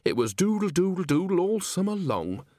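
A man's voice: on the high side, big pitch swings, moderate pace, dry recording, clean studio sound, pitched above the performer's own voice. A man voicing a cheeky, jolly old witch woman with a breezy, homely, chatty manner in an audiobook. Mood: dreamy, cheeky. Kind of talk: audiobook character voice.

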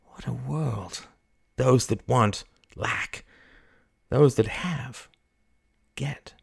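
A man's voice: mid-range voice, extremely slow, gravelly, husky, voice pushed up, heavily acted. A man voicing a naive, earnest young woman in an audiobook, hesitant and polite in manner. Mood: pensive, resolute. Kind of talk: audiobook character voice.

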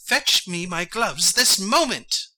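A fussy, bossy rabbit, played by an actor, with a very sharp, forceful voice that swoops up and down. It scolds and gives orders in an irritable, imperious way, in audiobook storytelling style. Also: medium pitch, lively intonation, takes it slowly, exaggerated voice. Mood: impatient, commanding. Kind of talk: audiobook character acting.

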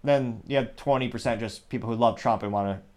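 A man hosts his own commentary show, his voice sitting at an everyday middle pitch. He rambles, doubles back and corrects himself mid-sentence. It is captured on a small USB microphone at a home setup. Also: darkish tone. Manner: rambling, self-correcting, mentions stuttering and speaking fast. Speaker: show host.

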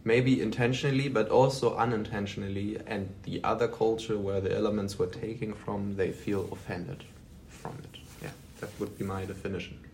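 Lower-pitched man with a German accent. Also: fairly even intonation, moderate pace, a little mellow, slight background noise, poor audio. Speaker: podcast co-host. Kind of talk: casual discussion.

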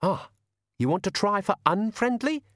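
A man voicing a firm, quietly menacing young governess in an audiobook. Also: sits high, lively intonation, normal speed, no room sound, studio quality, pitched above the performer's own voice. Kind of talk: audiobook character voice.

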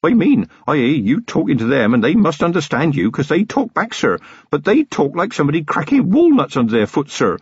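A man voicing a nervous young male constable, deferential and rushed. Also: a little high, very animated, husky, no echo, highs cut off, voice pushed up, exaggerated voice. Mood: anxious. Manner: anxious, rushed, deferential, keeps saying sir.

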